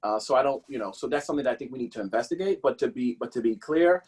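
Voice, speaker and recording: A man speaking as a political candidate, answering a policy question in a halting, slightly husky voice that often repeats and corrects phrases. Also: medium pitch, animated, darkish tone.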